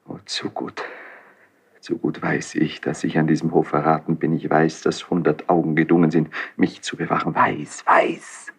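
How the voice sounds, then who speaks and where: An actor playing an anguished, bitter young crown prince in a classical German radio drama, theatrical and impassioned, with a husky, breathy voice. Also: male voice, rumbling low, monotone, moderate pace, heavily acted. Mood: agitated, wary. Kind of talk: 1970s German radio play, classical stage acting.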